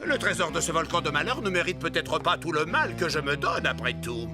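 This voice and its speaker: An actor playing a greedy, scheming pirate captain in a children's cartoon, pompous and theatrical, with a creaky voice. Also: male voice, a little high, expressive, fairly quick, music in the background, lightly acted. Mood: haughty, irritated. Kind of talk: French-dubbed children's cartoon.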